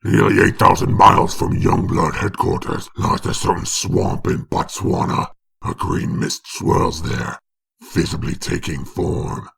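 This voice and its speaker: Sardonic demon narrator, extremely croaky and hoarse-edged, dramatic comic storytelling. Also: male voice, low voice, sing-song, measured pace, a bit bright, exaggerated voice. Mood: sardonic, sinister. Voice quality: gravelly, raspy. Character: sinister demonic male narrator, mocking and knowing.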